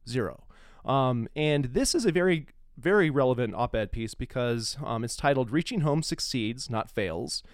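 A man's voice: medium pitch, clean tone, no room sound, clean studio sound. An American man hosting a podcast, giving a casual, emphatic and opinionated introduction. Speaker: podcast host.

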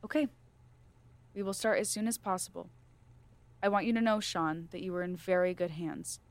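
A woman playing a firm, calmly coercive corporate official with an American accent in an audio drama. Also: on the low side, normal speed, natural voice. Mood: soothing.

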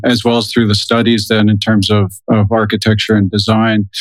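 A man's voice: fairly low pitch, no echo, poor audio. A man hosting a podcast, introducing a guest and asking interview questions.

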